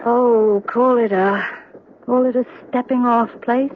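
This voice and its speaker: A woman's voice: a little high, fairly even intonation, slow. A woman acting a bitter, cynical young widow in a radio drama, with a curt, sardonic way of talking. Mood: prickly. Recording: muffled, noisy old radio drama recording.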